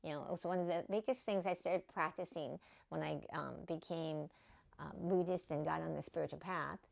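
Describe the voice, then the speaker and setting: A woman's voice: deep voice, steady tone, moderate pace, creaky. A middle-aged woman hosting a spiritual talk, speaking casually and often checking in with "okay?" and "you know". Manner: conversational, many fillers and "okay?" check-ins. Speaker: spiritual teacher and podcast host. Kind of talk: casual spiritual teaching monologue.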